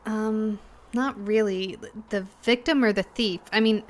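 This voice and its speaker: Slow, hesitant young woman, shaken, played by an actress. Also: mid-range voice, steady tone, a little hiss or hum, natural voice. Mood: uneasy. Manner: halting, hesitant, with sighs and pauses. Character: Emily, shaken, hesitant young cafe worker recounting trouble. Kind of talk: naturalistic audio drama dialogue.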